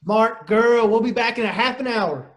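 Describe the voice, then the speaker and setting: A man's voice: very high voice, normal speed. A man playing a pushy, slangy vaudeville trouper in a stage play table read. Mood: brisk, instructing.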